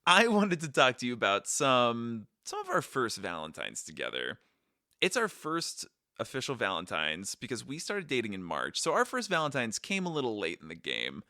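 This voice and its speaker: A man's voice: medium pitch, normal speed, no echo, clean studio sound. A man hosting a travel podcast in casual, joking chat with his wife. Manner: playful, jokey, lively. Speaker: podcast host.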